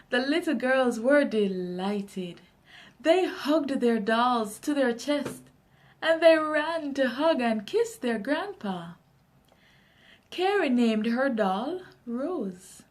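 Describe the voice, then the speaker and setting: Slow, high-voiced Jamaican woman storyteller. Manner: expressive, unhurried storytelling. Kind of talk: children's story read-aloud.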